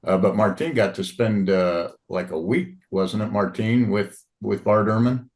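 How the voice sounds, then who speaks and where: A man's voice: on the low side, fairly even intonation, unhurried, a little mellow. A man in a book club on a video call, casually prompting another member to share her story. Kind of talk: video call group discussion. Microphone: computer mic on video call.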